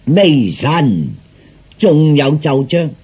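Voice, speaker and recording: A man playing a scheming, flattering royal adviser in a comic audio story, speaking formally and ingratiatingly like an orator. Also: on the high side, drawn-out words, husky, heavily acted. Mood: flattering, persuasive. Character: scheming, flattering male military adviser to emperor.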